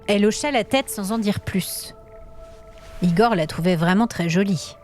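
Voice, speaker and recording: Woman narrating a story over soft music. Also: medium pitch, normal speed. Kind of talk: scripted dramatic narration of a fantasy tale.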